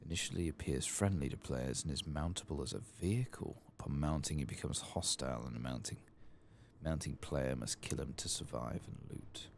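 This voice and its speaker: A man giving soft-spoken ASMR game commentary, murmuring and at times drifting toward a whisper. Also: very deep voice, a little fast, creaky, a little hiss or hum. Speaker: gaming video host.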